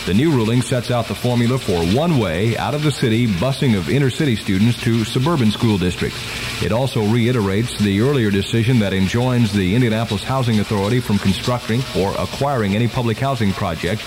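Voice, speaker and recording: A man's voice: fairly low pitch, brisk. An American man hosting morning radio, moving between banter and news reading. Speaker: morning radio host and newsreader. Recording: noisy old radio broadcast tape.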